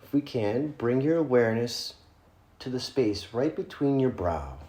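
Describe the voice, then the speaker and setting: A man with a dark, relaxed voice leads a guided meditation in a roomy, echoing space. He gives gentle instructions in short, spaced phrases, and his pitch rises and falls freely as he goes. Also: unhurried. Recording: roomy, echoing room sound.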